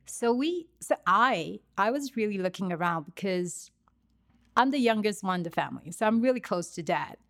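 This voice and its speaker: A woman's voice: medium pitch, expressive, moderate pace, a bit bright. A woman from Singapore with a Singaporean English accent, a wellness coach being interviewed and talking reflectively about her life, with frequent fillers and restarts. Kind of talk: conversational interview.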